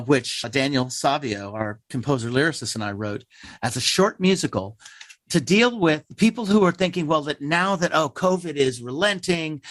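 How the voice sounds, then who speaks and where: A man's voice: mid-range voice, a bit bright, no room sound. A man speaking as a theater playwright in an interview, conversational and emphatic, with wry asides and acted-out imagined quotes. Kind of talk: animated conversational interview answers.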